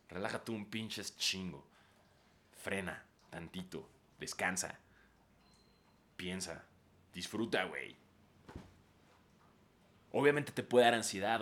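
A man's voice: medium pitch, fairly bright tone. Mexican man, slangy podcast rant. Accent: Mexican Spanish. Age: 30s. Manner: swears freely and throws in "güey" constantly. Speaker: podcast host. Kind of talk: casual monologue giving advice.

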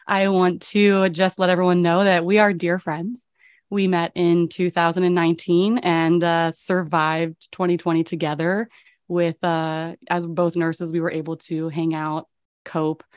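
A woman hosting a podcast interview, speaking in a calm, earnest and reflective way. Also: lowish voice, unhurried, clean tone, dry recording, clean studio sound. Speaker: podcast host.

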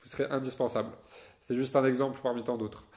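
A man's voice: mid-range voice, level tone, quick pace, darkish tone. A young man from France talking through his solo podcast, loose and chatty, full of hesitations and restarts. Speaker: podcast host.

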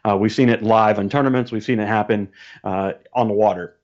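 A man's voice: on the low side, fairly even intonation, fairly quick, darkish tone. A man as a podcast guest, telling stories casually in a colloquial, vivid and emphatic way over muffled livestream audio. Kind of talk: casual storytelling chat.

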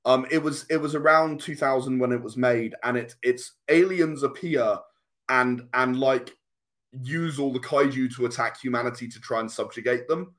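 A man's voice: medium pitch, normal speed, fairly bright tone. A man speaking as a guest game designer in a casual interview, explaining in long, enthusiastic runs.

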